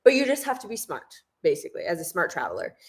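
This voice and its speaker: A woman's voice: lowish voice, big pitch swings, fast talker, reverberant. A young woman chatting casually on a live travel hangout and reading out viewer questions. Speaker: travel vlogger, co-host.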